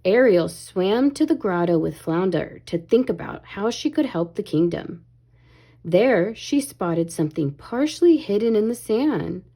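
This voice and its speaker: A woman reading a children's story aloud in an expressive, animated way, as if to young listeners. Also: mid-range voice, unhurried. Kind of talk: expressive read-aloud narration, pausing to ask children questions.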